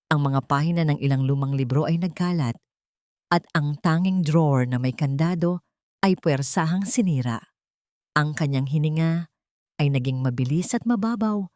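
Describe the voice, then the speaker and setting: A woman's voice: low-pitched, dark tone, no room sound, clean studio sound. A woman narrating a scripted dramatic love story in a solemn, sentimental manner.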